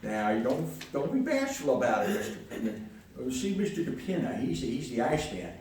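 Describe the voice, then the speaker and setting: A man playing an easygoing, curious old grandfather in a live radio play, folksy and unhurried. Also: mid-range voice, huge pitch swings, darkish tone. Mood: genial. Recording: very echoey hall, distant microphone, noisy.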